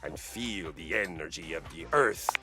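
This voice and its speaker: An actor playing a boastful, swaggering male warrior who coaches fitness, in a booming, theatrical game voice. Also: very animated, measured pace, over music, full character voice. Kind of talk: game voice acting.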